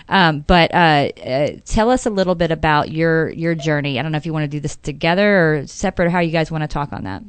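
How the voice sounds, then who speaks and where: एक बातूनी महिला रेडियो होस्ट, धीमी गहरी और साफ़ आवाज़, सूखी स्टूडियो ध्वनि।. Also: female voice, deep voice, fairly quick, clear, resonant tone, no echo. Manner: chatty, laughs often, many fillers. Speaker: radio show host. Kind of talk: casual radio talk show.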